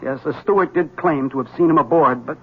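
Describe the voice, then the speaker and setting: An actor playing an agitated, desperate husband in an old-time radio drama, speaking with intense, insistent urgency. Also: male voice, fairly high pitch, quick pace, husky. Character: agitated, desperate husband convinced his wife is a witch. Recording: dull lo-fi vintage radio recording.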